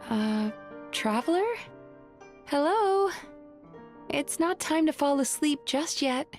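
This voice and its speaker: A woman voicing a gentle, playful young female therapist in a video game, with a soothing, teasing, polite manner. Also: high-pitched, lively intonation, slow, faint music behind. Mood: gently prompting. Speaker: game character.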